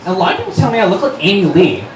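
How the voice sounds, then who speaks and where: A man's voice: sits high, wildly swooping pitch, very fast, voice pushed up. A man voicing a vain, gloomy teenage goth vampire girl in a melodramatic stage reading of fan fiction. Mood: self-important. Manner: self-absorbed, melodramatic, listing details. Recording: noisy, echoey live room recorded from far off.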